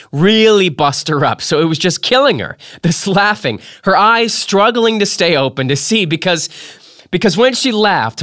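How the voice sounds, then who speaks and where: A man reading a memoir aloud as a podcast host, in a theatrical, emphatic style with dramatic pauses. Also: a little high, a bit bright, no echo, clean studio sound. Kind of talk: expressive dramatic literary reading.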